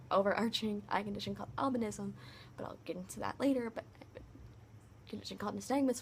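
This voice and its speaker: A young woman vlogger with a high-pitched voice, casually retelling a story to camera, full of "like" fillers. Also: slight background noise. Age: young adult. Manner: chatty, many "like" fillers, animated retelling.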